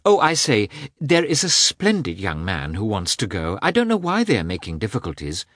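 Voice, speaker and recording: A man's voice: fairly low pitch, wildly swooping pitch, normal speed, a bit bright, dry recording, studio quality, full character voice. A man voicing an eager, gossipy society princess in a classic novel, insistent and keen to share news. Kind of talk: audiobook character voice in a classic novel.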